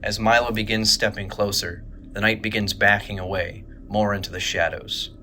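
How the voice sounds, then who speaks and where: A man reads dramatic narration for a horror audio drama. His voice is on the low side with a tense, bright edge. He delivers it in a grave, measured way. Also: flat delivery, a little hiss or hum.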